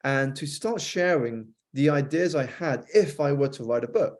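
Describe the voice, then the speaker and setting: A man's voice: mid-range voice, moderate pace. British man telling his story. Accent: British, softened by years with an American spouse. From United Kingdom. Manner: fluent, reflective storytelling with frequent repeated starts. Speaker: author and guest. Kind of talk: podcast interview storytelling.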